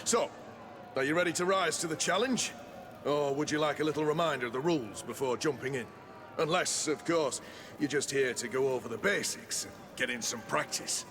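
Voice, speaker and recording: An actor playing a showy, formal arena master in a theatrical fantasy game style. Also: male voice, a little high, normal speed, lightly acted. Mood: smooth salesmanship. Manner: flamboyant, formal, showman-like. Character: showy male arena master announcing fights to the crowd. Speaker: arena announcer. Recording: long echoing reverb.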